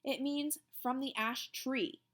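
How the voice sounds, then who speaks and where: A woman voicing a stern but kind male schoolteacher who speaks in a firm, measured way. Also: sits high, steady tone, a bit bright, pitched above the performer's own voice. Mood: lecturing.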